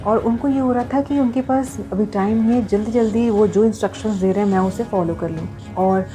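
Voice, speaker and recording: A woman's voice: mid-range voice, a little fast, mellow, faint music behind, poor audio. A woman talking to viewers in an earnest, explanatory vlog style, mixing in English phrases. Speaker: vlogger.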